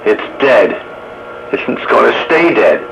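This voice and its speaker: A man's voice: medium pitch, very animated, measured pace, a crowd in the background, low-quality recording. A man talking casually over two-way radio as a hobbyist in a radio roundup. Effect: two-way radio transmission. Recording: CB radio with clear static noise.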